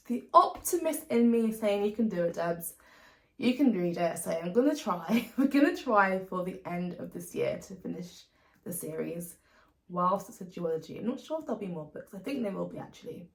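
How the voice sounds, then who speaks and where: A woman's voice: medium pitch, vocal fry, darkish tone, echoey room. A British woman talking casually and chattily as a book vlogger, informal and given to emphatic repetition. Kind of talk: casual chat to camera about reading plans.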